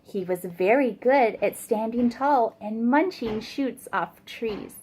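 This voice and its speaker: A woman reading a picture book aloud for young children in an expressive, child-directed way. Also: a little high, takes it slowly, a little hiss or hum. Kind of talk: slow read-aloud storytelling for young children.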